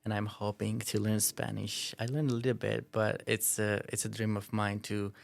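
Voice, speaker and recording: A man from Armenia, a school language department head, telling stories in a measured, reflective way on a casual podcast. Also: on the low side, flat delivery, vocal fry. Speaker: ELD head teacher.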